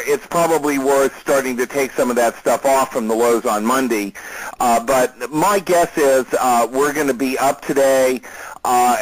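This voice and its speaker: Man giving measured stock market commentary. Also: medium pitch, low-quality recording. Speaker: market analyst hosting a client call. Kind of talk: informal market commentary on a conference call.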